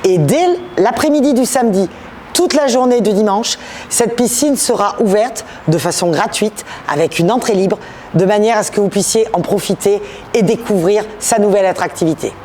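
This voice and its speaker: A woman's voice: medium pitch, moderate pace, mellow, a little hiss or hum. A woman presenting a guided tour to viewers, explaining things directly as a local official. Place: on location at a new indoor swimming pool and outside it.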